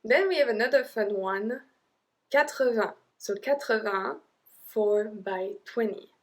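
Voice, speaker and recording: A young woman with a French accent, teaching an online language lesson, drilling number words slowly with chatty asides. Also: a little high, rough recording. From French-speaking Europe.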